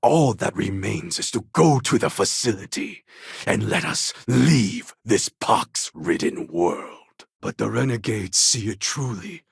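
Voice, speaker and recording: Proud rebel warlord for a game: low, husky, grandly formal, swinging widely in pitch. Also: male voice, animated, no echo, studio quality, heavily acted. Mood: earnest, resolute. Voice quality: gravelly, husky. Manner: grandiose, formal, deliberate phrasing. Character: proud defiant cloned warlord, impassioned rebel leader. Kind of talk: dramatic video game character acting.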